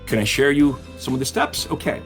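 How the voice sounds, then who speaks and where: A man's voice: mid-range voice, sing-song, a little fast, faint music behind. A middle-aged man coaching real estate agents in an upbeat way, often asking the audience questions, while his voice is giving out. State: losing his voice. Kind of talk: webinar-style coaching talk.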